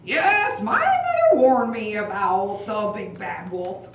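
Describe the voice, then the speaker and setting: A woman's voice: mid-range voice, very animated. A woman playing a cautious, sensible young pig who speaks earnestly in a children's puppet show. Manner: earnest, deliberate. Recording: echoey room, distant mic, clear noise.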